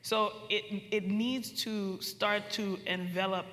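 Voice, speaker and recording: A woman from Baltimore, born in Trinidad and Tobago, speaking as a panelist in a measured, deliberate, earnest way. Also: mid-range voice, fairly even intonation, fairly bright tone. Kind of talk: panel discussion. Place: conference hall. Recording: echoey hall with long reverb.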